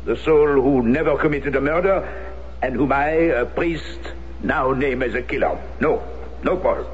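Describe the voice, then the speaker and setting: An actor playing a principled, unbending priest and former Resistance fighter in a radio drama, with a grave, deliberate manner. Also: male voice, mid-range voice, close to an everyday voice. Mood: solemn refusal. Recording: muffled old radio recording, faint noise.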